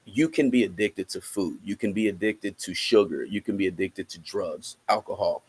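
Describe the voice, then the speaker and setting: A man with an American accent speaking casually as an interview guest, halting as he thinks aloud. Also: sits low, darkish tone, husky. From Cincinnati, United States. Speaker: interviewed musician and rapper.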